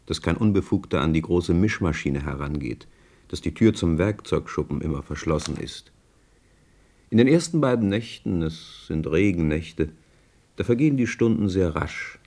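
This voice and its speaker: A man narrating a scripted radio play as a measured storyteller. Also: a bass voice, a little mellow, full character voice.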